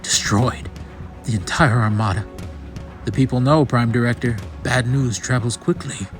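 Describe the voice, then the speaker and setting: A man's voice: medium pitch, moderate pace, faint music behind, pitched above the performer's own voice, lightly acted. A man voicing an anxious, reverent herald to an alien ruler in a comic-book story, formal and earnestly theatrical. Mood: alarmed.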